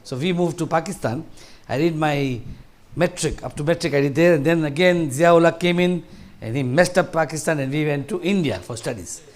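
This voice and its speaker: A man's voice: a little high, moderate pace, few pauses. A man in his sixties from Tanzania, giving a conversational talk to a seniors group in a large hall, rambling and restarting his sentences. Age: 60s. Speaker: guest speaker.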